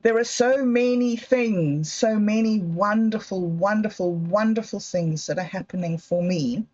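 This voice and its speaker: A woman talks into her phone in a slow, musing vlog. She drifts between thoughts, turns emotional, and laughs at herself along the way. The sound is a bit muffled and lo-fi. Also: medium pitch, warm tone. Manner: musing, emotional, digressive, with self-mocking laughs. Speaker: vlogger. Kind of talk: reflective personal vlog monologue.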